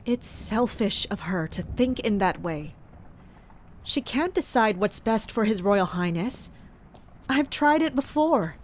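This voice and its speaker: An actress playing a dutiful woman captain of royal guards in an audio drama, measured, blunt and restrained. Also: medium pitch, expressive, a little hiss or hum. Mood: brooding. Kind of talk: audio drama acting with inner monologue.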